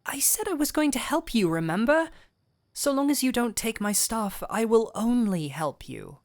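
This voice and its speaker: A woman playing a gentle but firm fantasy physician, calm and wry, in an intimate audio roleplay with measured, coaxing delivery. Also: on the high side, no echo, studio quality. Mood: patient, exasperated. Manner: measured, coaxing, wryly stern. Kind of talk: intimate scripted audio roleplay addressed to the listener.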